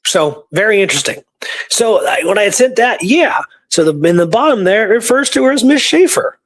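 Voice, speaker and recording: Guy with a slightly high voice, rambling casually about old records, repeats himself for emphasis. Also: expressive, fairly quick. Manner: rambling, informal, emphatic repetition. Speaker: history researcher and show guest or co-host. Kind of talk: casual discussion of historical research.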